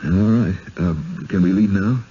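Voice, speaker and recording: An actor playing a skeptical, wary husband in 1970s radio drama style, speaking in an urgent, halting but controlled way. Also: male voice, low voice, mellow. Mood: determined. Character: skeptical, wary husband trapped in sinister village. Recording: dull, hissy old radio broadcast.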